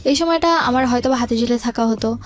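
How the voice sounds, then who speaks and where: Young bangladeshi girl, high pitched, telling her story casually w bits of english, soft music behind. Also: fast talker. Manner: colloquial, mixes in English words. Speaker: guest telling life story. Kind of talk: conversational personal storytelling. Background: background music.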